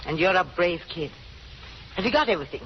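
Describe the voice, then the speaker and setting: An actress playing a kindly, chatty, sympathetic matron in old-time radio drama style. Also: female voice, lowish voice, lively intonation, fast talker, lightly acted. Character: kindly, brisk female prison matron helping a bride. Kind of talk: 1930s radio drama acting. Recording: muffled, noisy old radio recording.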